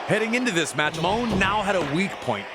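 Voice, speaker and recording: A man's voice: high-pitched, lively intonation, fairly quick. A man narrating wrestling matches as a commentator, in a dramatic sports documentary style with an emphatic, suspenseful delivery. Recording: loud crowd and arena noise behind. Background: roaring wrestling crowd, ring slams.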